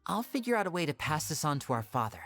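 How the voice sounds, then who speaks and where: A woman voicing a gracious, loyal young elder brother in a game, speaking in a polite, measured, formal way. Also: low-pitched, big pitch swings, fairly bright tone. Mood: sincere. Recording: game music behind the voice.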